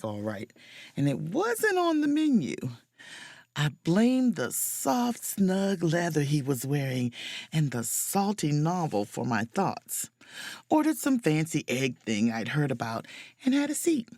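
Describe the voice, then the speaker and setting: Low, lively, wry woman narrator. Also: a little slow, dry recording, studio quality, full character voice. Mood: playfully smitten. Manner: confiding, wry, playful. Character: wry, self-mocking adventurous woman officer narrating her vacation. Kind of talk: first-person audio drama narration and dialogue.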